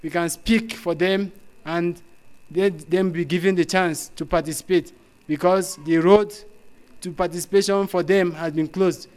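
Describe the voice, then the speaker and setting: A man's voice: high voice, steady tone, measured pace, darkish tone. A young man with a Nigerian accent, giving an interview statement as a youth delegate.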